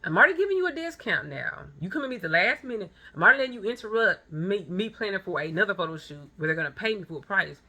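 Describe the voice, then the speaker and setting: A woman's voice: mid-range voice, big pitch swings, quick pace, hardly any pauses, pushed and bright. A woman in her thirties giving a blunt, emphatic motivational monologue with personal anecdotes, repeating phrases for stress. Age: 30s. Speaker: vlogger and photographer.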